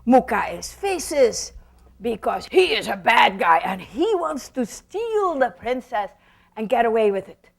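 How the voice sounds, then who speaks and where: A woman's voice: fairly high pitch, very animated, unhurried, vocal fry. A woman presenting puppetry in an explanatory storytelling talk, theatrical and emphatic, with rhetorical questions.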